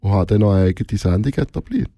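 A man's voice: fairly low pitch, expressive, fast talker, warm tone, no echo, studio quality. A man with a Swiss German accent answers interview questions casually as a radio host, often rephrasing and repeating words as he searches for them.

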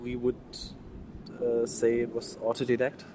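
A man's voice: mid-range voice, completely flat delivery, unhurried, a crowd in the background. A man from East Germany in his thirties, a German native speaker with a German accent, talking earnestly as an interview guest, often restarting words and laughing. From East Germany, Saxony. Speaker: guest, mime artist. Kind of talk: casual interview.

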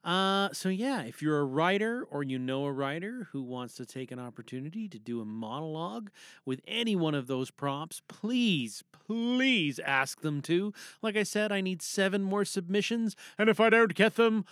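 A podcast host speaking in a theatrical, animated way, reading out announcements and chatting to listeners. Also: medium pitch, moderate pace, clear, resonant tone, no room sound, studio quality.

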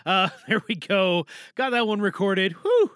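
Lively, bright-voiced audio drama presenter. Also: mid-range voice, measured pace, clear, resonant tone, dry recording, studio quality. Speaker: monologue performer and presenter. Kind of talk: casual, jokey chat around an audio drama recording.

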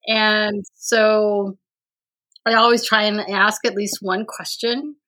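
Slow, hesitant woman with a bright voice. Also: medium pitch, level tone, rough recording. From Vietnam. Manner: hesitant, many fillers and restarts, laughs often. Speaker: podcast guest, university administrator. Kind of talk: casual conversational interview.

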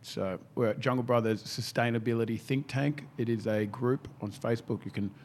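A man's voice: mid-range voice, fairly even intonation, fairly quick, a little mellow, a little hiss or hum. A man running a gym, talking casually and swearing freely on a podcast.

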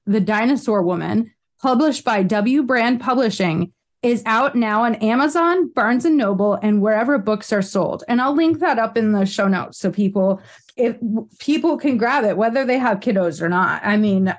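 A woman's voice: a little high, moderate pace. An American woman in her thirties hosting a podcast interview, chatting casually with rambling side notes and frequent laughs. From United States. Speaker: podcast host.

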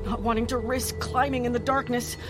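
An actress playing a folk-tale heroine, recounting a perilous adventure in suspenseful, measured audio drama narration. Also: female voice, a little high, fairly even intonation, lightly acted. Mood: subdued. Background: music.

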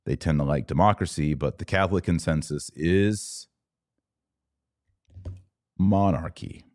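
A man's voice: sits low, a little slow, a little mellow. A man hosting a podcast, giving conversational religious and political commentary in an emphatic, opinionated way with short declarative statements.

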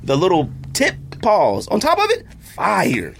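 Animated man hosting a podcast, lively pitch. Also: measured pace, creaky, slight background noise. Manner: animated, joking, reads ad spots loosely. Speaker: podcast host. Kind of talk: casual chat.

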